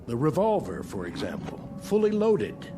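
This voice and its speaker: An actor playing a stern, skeptical general in a radio drama, curt and authoritative. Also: male voice, a little high, wildly swooping pitch, normal speed, over music. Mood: stern, suspicious. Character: stern, skeptical American Air Force general.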